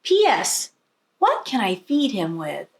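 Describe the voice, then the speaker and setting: A woman's voice: mid-range voice, wildly swooping pitch, slow, exaggerated voice. A woman voicing an eager little girl in a storybook, earnest and full of childlike wonder. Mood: excited. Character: eager little girl writing about her whale. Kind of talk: storybook read-aloud.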